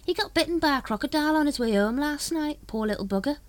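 A woman's voice: high voice, animated, fast, no echo, voice pushed up. A woman voicing a gossipy, eager male brother of a secret society in a storybook. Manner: gossipy, thoughtful.

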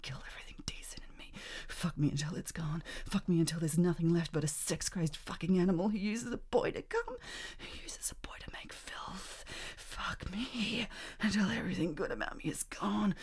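Casting: woman as a possessive, reckless married teacher; husky and breathy, lower register, big pitch swings between whispers and cries. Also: a little mellow, full character voice. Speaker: voice actor in an adult audio roleplay. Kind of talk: intimate roleplay performance.

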